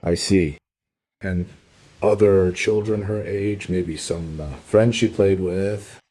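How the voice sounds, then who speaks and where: An actor playing a dry, probing detective inspector in a crime radio play, speaking in a measured, questioning way. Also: male voice, low-pitched, fairly even intonation, dark tone, breathy edge, full character voice. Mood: polite, sober.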